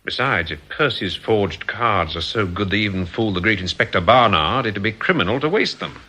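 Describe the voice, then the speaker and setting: An actor playing a genial, piously courteous English boss of ex-convict swindlers in a radio drama, suave and measured. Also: male voice, on the low side, animated, bright, forceful tone. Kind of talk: British radio drama acting. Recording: faintly noisy radio drama recording.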